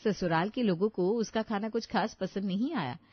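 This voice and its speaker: A woman tells a scripted children's story in a medium-pitched voice. The recording is very dry and slightly muffled. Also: moderate pace, slightly rough, no room sound.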